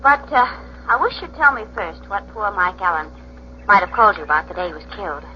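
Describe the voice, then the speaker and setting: A woman's voice: medium pitch, big pitch swings, quick pace, natural voice. An actress playing a playful, inquisitive young woman, a detective's companion, in a 1940s radio drama. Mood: playful, curious. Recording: muffled, hissy 1940s radio recording.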